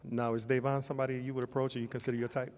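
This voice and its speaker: A man's voice: medium pitch, level tone, racing, darkish tone. A man hosting a dating game show, upbeat and keeping things moving on a handheld mic. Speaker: host. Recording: echoey hall.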